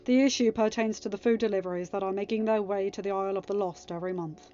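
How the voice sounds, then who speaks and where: A woman's voice: mid-range voice, steady tone, warm tone, close to an everyday voice. Woman acting an earnest, formal young king, slightly muffled recording. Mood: grave, formal. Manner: formal, measured.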